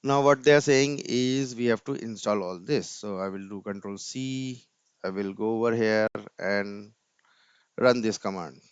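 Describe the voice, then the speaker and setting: A man's voice: fairly low pitch, measured pace, smooth, dry recording. A man presenting an informal screen-share coding tutorial step by step, often tagging his sentences with "right?", on a dull computer mic.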